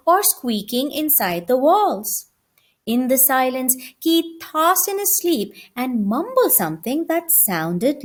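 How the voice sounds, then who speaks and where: Female storyteller for a children's audiobook, high voice, very expressive and varied intonation.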